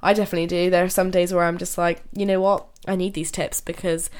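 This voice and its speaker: A woman's voice: on the low side, flat delivery, fast, darkish tone, clean tone. A young woman hosting her own podcast, sounding nasal because she is ill, chatting casually, laughing often and restarting sentences. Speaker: podcast host. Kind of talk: casual solo podcast talk.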